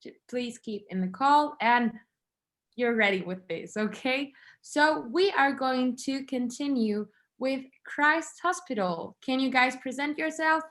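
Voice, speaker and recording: A woman's voice: high voice, measured pace, fairly bright tone. A woman moderating an online student competition over a video call, giving patient, encouraging instructions.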